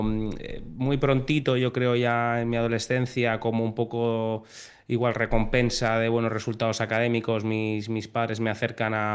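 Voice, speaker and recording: A man in his thirties from Spain, a pilot telling his story in a relaxed interview. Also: fairly low pitch, clean tone. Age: 30s. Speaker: airline pilot guest.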